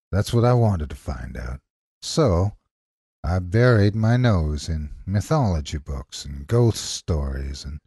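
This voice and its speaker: Husky, expressive man narrating horror. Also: on the low side, no echo, clean studio sound. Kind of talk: scripted dramatic horror narration.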